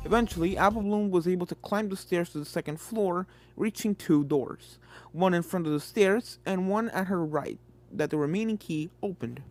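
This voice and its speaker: A woman's voice: on the low side, darkish tone, no room sound. A woman reading a scripted fan fiction story as its narrator. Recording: background music under the voice. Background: game soundtrack music.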